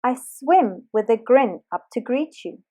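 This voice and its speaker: A sly, grinning storybook shark, put on by a woman reading aloud, coaxing you closer in a sweet, unhurried voice. The pitch rises and dips playfully. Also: expressive, warm tone.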